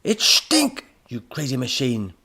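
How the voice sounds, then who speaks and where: A man voicing an irascible mad professor in a children's story, exasperated, melodramatic and given to shouting. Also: mid-range voice, big pitch swings, normal speed, gravelly, husky, voice pushed up, exaggerated voice. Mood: enraged screaming. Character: irascible mad professor, shrieking and barking, easily enraged.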